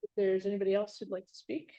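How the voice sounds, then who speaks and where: A woman's voice: mid-range voice, flat delivery, fast, mellow. A woman organizing a memorial gathering, thanking guests in unscripted remarks with many fillers and restarts, recorded at an event venue. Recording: dull lo-fi sound.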